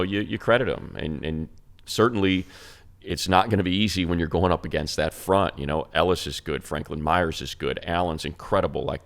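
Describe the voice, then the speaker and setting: A man's voice: low-pitched, gravelly, a bit bright. An American man co-hosting a sports show, talking through analysis in an emphatic, explanatory way with rhetorical questions. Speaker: sports show co-host and radio play-by-play announcer. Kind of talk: sports talk analysis, conversational.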